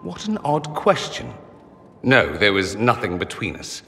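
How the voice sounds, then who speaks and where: Vain witty mage, lively intonation, articulate, theatrical, fantasy game, long reverb. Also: male voice, on the low side, measured pace, vocal fry, slight background noise, heavily acted. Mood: wistful. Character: witty, vain, wounded male mage from a noble family. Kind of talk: dramatic fantasy game dialogue.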